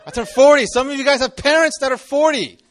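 A man's voice: very high voice, fast talker, fairly bright tone. A man in his forties, a campus pastor, giving a casual, joking youth sermon to a live audience. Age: 40s. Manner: chatty, jokey, restarts often.

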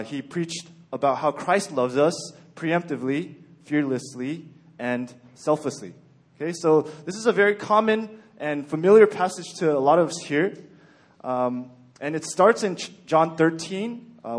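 A young man from Texas giving a sermon in a calm, even-keeled way with frequent ums and okays. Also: a little high. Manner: even-keeled, plain-spoken, frequent "um" and "okay". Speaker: church speaker.

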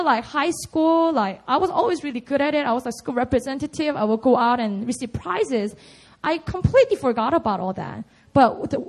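Young woman, Korean accent, high voice, earnest church testimony, laughs often. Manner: earnest and personal, with frequent laughs and "you know". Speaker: church speaker. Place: church hall.

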